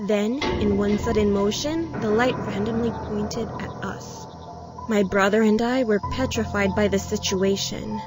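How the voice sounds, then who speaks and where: Female narrator for first-person scary stories, medium pitch, music bed clearly audible. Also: unhurried. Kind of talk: scripted first-person horror story narration. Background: background music.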